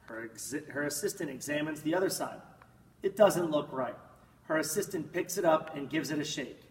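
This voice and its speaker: A man reads a picture book aloud with theatrical emphasis and drawn-out words. His voice sits a little high. It comes from some distance in a very echoey room, with a faint layer of noise. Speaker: storybook reader. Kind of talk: expressive children's picture-book read-aloud.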